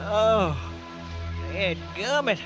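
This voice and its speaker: Very high-pitched Southern country trucker. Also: male voice, lively intonation, extremely slow, highs cut off, over music, full character voice. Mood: dazed. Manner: drawling, colourful folksy exclamations. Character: plain-spoken country truck driver, excitable and folksy. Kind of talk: old-time style radio drama acting.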